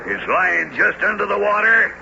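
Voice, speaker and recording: A man playing a bold, cocksure harpooner in a radio drama, blunt and hearty, with a gravelly voice. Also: high voice, animated, moderate pace. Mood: wry. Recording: very noisy, dull old radio recording.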